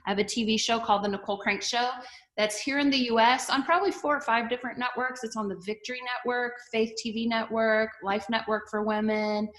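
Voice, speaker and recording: A woman's voice: mid-range voice, fairly bright tone. A middle-aged woman pastor and TV host, chatting casually with an online audience and asking them to type answers. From Canada-born, raised in the US. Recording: roomy echo.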